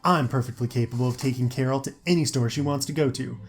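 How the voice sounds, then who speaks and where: A man's voice: mid-range voice, fast talker, lightly acted. Man acting a menacing teenage school bully. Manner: taunting, menacing. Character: big belligerent ninth-grade boy bully, jealous and threatening.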